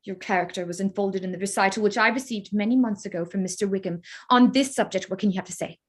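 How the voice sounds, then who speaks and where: A woman playing a proud, sharp-tongued young Regency gentlewoman in a staged reading, speaking in pointed, formal phrases. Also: mid-range voice, quick pace, lightly acted. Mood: indignant. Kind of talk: staged reading of a period drama.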